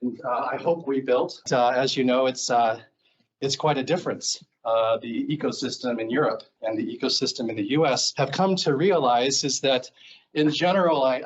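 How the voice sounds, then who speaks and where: A man's voice: medium pitch, slight background noise. American man giving a muffled talk. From Seattle, Washington, US. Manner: rambling, filler-heavy, self-correcting. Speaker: guest speaker. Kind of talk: conference talk. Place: presentation room, standing before a screen.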